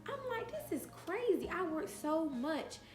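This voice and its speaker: A woman's voice: extremely high pitch, very animated, unhurried, roomy sound. A woman in her late twenties telling a personal story on her vlog, earnest and emphatic, repeating phrases to drive her point home. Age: 20s. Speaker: vlogger.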